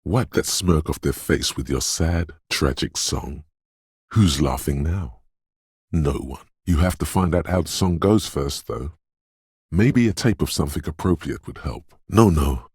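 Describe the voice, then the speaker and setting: A man voicing a brooding, dreamlike inner voice of intuition in a video game, speaking in a slow, hushed, portentous and theatrical way. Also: no room sound, clean studio sound, heavily acted. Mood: brooding, insistent. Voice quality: very deep, husky, gravelly whisper-edged rumble. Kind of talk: dramatic video game voice acting. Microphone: close, dry studio microphone.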